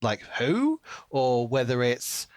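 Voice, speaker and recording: A man's voice: medium pitch, big pitch swings, slow, darkish tone, no room sound. A man co-hosting a podcast, talking casually through a book and acting out reactions as he retells it. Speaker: podcast co-host.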